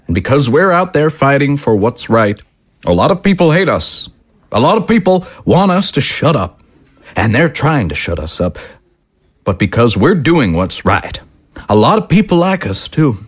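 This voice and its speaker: An actor playing a fiery, short-tempered political broadcaster and movement leader in an audio drama, speaking emphatically. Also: male voice, mid-range voice. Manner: combative, emphatic, oratorical. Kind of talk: dramatic audio screenplay acting.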